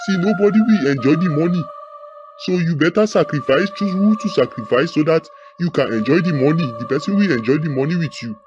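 A man's voice: fairly high pitch, fairly quick, lightly acted. A man with a Nigerian accent playing a menacing, demanding shrine priest in a film drama, commanding and insistent, drawing out exclamations. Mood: threatening. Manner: commanding, insistent, ends phrases on drawn exclamations. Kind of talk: melodramatic film acting. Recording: muffled radio-like band-limited sound under loud music.

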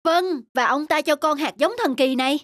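A woman voicing a naive, lazy young peasant son in an animated folk tale, with a whining, exclamatory delivery. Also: high-pitched, normal speed, a little hiss or hum. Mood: excited. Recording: background music under the voice.

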